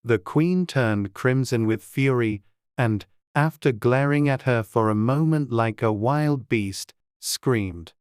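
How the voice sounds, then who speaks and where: Slow-paced male storybook narrator, very dry. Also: medium pitch, clear, resonant tone, no echo. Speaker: audiobook narrator. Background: faint music.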